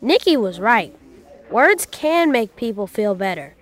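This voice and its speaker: A man voicing an earnest, excitable young boy in a children's storybook, with urgent exclamations. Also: very high-pitched, normal speed, voice pushed up, heavily acted. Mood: amazed. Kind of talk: children's storybook read aloud.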